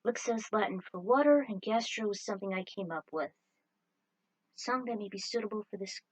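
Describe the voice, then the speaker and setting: A woman's voice: mid-range voice, moderate pace, rough recording. Woman narrating a story. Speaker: narrator and writer of fan fiction. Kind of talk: scripted narration, reading a story aloud.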